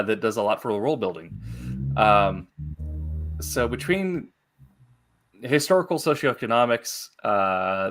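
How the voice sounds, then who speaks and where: Lowish-voiced man hosting an interview. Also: a bit bright. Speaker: interview host. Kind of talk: conversational interviewing.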